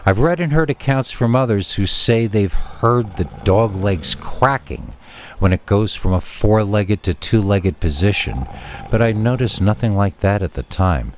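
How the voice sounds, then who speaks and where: A man's voice: fairly low pitch, slight background noise. A man reading a scripted first-person scary story as a narrator.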